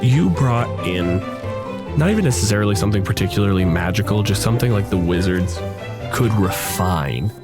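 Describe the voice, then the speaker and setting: A man's voice: on the low side, animated, moderate pace, over loud music. A man improvising tabletop game narration as game master in a casual, wry, conversational way.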